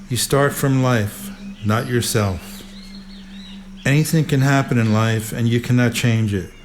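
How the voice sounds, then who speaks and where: A man reading spiritual teachings aloud in a measured, deliberate, instructive way. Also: mid-range voice. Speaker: reader of spiritual commentary. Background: prominent music.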